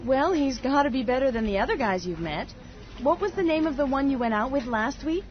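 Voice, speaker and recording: A woman's voice: high voice, lively intonation, a little fast. A woman acting a curious, friendly coworker in a scripted listening dialogue. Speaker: dialogue actor. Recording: dull lo-fi audio.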